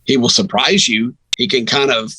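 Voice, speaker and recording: A man's voice: medium pitch, fairly even intonation, moderate pace, bright, forceful tone, breathy edge. A man talking casual sports analysis as a radio broadcaster guest, acting out reactions as he tells stories.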